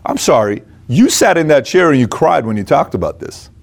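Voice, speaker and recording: A man with a slightly high voice delivers a motivational business talk. He speaks conversationally but with emphasis, breaking points into short punchy phrases. He often poses questions and then answers them himself. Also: very animated, moderate pace, vocal fry.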